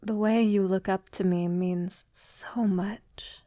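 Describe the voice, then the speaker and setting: An ancient, elegant vampire played by a woman speaks to her lover in an intimate audio roleplay. Her delivery is slow, soft and tender, drifting often into near-whisper. She is a refined, affectionate woman with formal phrasing, heard in a very dry sound. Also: mid-range voice, steady tone, dry recording, lightly acted. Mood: hesitant, apologetic. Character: affectionate centuries-old female vampire lover, refined and vulnerable.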